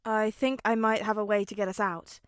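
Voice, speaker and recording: A woman voicing a dry, awkward teenage son with a flat, hesitant delivery in a story reading. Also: medium pitch, brisk, no room sound, natural voice.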